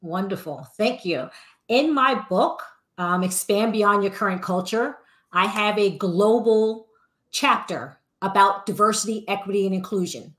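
A woman hosts a podcast, speaking slowly and deliberately at a mid pitch. She introduces and questions her guest with careful phrasing. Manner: deliberate, warm introductions with light laughs. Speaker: podcast host. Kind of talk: podcast interview.

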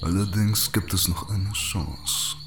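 A man voicing an old, brooding necromancer mage in an audiobook, speaking slowly, gravely and deliberately. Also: low-pitched, steady tone, background noise, voice pushed down. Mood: grave, measured. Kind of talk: audiobook character voice.

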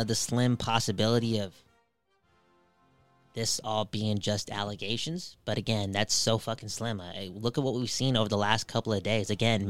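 A man's voice: mid-range voice, a bit bright, no echo. A man hosting a casual sports talk show, emphatic and slangy, repeating phrases and swearing freely. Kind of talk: casual sports commentary chat.

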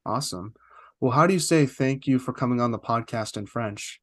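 A man's voice: medium pitch, a little fast, fairly bright tone, no room sound, clean studio sound. A man hosting an interview podcast, asking long questions in a talkative way with frequent "uh" fillers. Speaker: podcast host.

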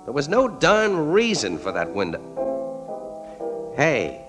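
An actor playing a wry, brooding newspaper crime photographer with clipped, slangy talk in a 1940s radio drama. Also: male voice, a little high, huge pitch swings, takes it slowly, full character voice. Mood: puzzled, intent. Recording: old radio recording, organ music.

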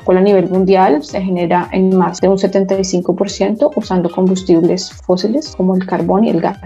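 A woman in her forties with a Bogotá Colombian accent, a guest expert giving measured, careful explanations with small restarts in a podcast interview. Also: medium pitch, dark tone, highs cut off.

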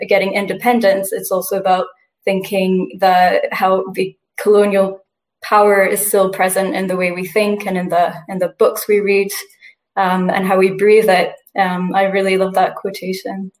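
Flat-voiced woman in an echoey room. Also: mid-range voice, moderate pace. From France and India. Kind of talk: academic seminar discussion.

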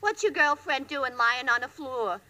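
An actress playing a belligerent, blunt young bride-to-be in a snappy 1940s radio comedy style. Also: female voice, sits high, moderate pace, sharp tone, poor audio. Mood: chatty, relieved. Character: brassy, belligerent young bride-to-be, feared tough gal.

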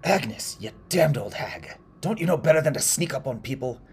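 A man voicing a gruff, uneasy village woodcutter in an audio horror story, blunt and exclamatory. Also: fairly low pitch, lively intonation, measured pace, a little mellow, slight background noise, pitched above the performer's own voice. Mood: angry, startled. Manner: blunt, exclamatory, nervously stammering.

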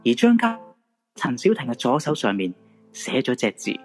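A man narrates a radio ghost drama in Hong Kong Cantonese. His mid-pitched voice moves around in a lively, dramatic way. Soft music plays underneath. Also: moderate pace.

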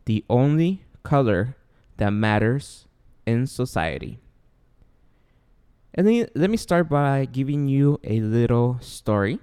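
A man's voice: medium pitch, big pitch swings, slow, dark tone, clear, resonant tone. A man from Guatemala with a Guatemalan Spanish accent, hosting a casual solo podcast in a rambling, self-deprecating way with many fillers. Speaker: podcast host.

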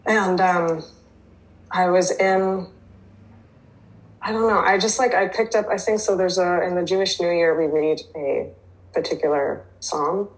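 Woman, native Hebrew speaker, low voice, hesitant reflective share. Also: fairly even intonation, a little slow, pauses often, rough recording. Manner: hesitant, many fillers and restarts. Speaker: twelve-step program member sharing. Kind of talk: reading aloud, then personal recovery share.

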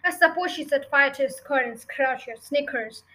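Woman playing a bullying, barking headmistress, bright and high. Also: normal speed, slight background noise. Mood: impatient, dismissive. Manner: barking, snorting, contemptuous, loud. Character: bullying, scornful adult headmistress, giant and bellowing.

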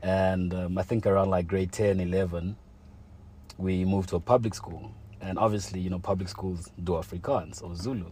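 A man's voice: low voice, fairly even intonation, normal speed, dark tone, a little hiss or hum. A young man telling a personal story in casual chat, full of slang and fillers like "like" and "you know". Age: young adult.

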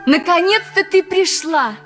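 An actress playing a coquettish, languid dancer and mistress in a radio play. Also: female voice, very high voice, unhurried, a bit bright, soft background music. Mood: playful, languid. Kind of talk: Soviet radio play acting.

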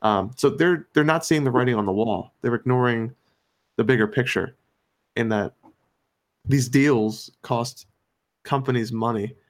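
A man's voice: medium pitch, a little slow, long pauses. American man explaining on a podcast. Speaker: podcast co-host. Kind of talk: opinionated podcast discussion.

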